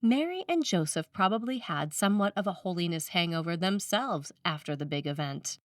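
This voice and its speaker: A woman's voice: on the low side, animated, moderate pace, no room sound, far from the mic. Woman reading a sermon, dry sound. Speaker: priest reading a written sermon.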